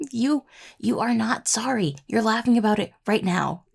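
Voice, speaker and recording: A woman playing an affectionate, teasing necromancer in an intimate audio roleplay, talking softly and playfully to a partner, with a husky, gravelly voice. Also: a little high, animated, unhurried, heavily acted. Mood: warm, teasing. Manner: soft, playful, casual delivery with sighs and murmured asides. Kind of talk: intimate audio roleplay acting, addressed to a listener.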